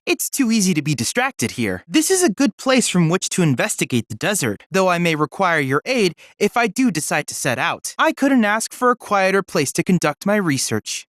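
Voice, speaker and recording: An actor playing a polite, earnest boyish android research assistant in a video game, with formal, precise, bookish diction. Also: male voice, sits high, sing-song, normal speed, few pauses, fairly bright tone, no room sound, studio quality. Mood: thoughtful, curious. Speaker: game character, research guide. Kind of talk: scripted video game voice acting.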